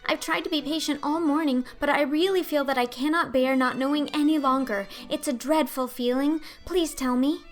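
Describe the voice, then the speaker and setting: Woman doing excitable little orphan girl for an audiobook, high voice, gushy n earnest, super dramatic, talks loads. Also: moderate pace, few pauses, faint music behind, natural voice. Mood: desperate pleading. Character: imploring, excitable, talkative dreamy orphan girl. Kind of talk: audiobook character acting.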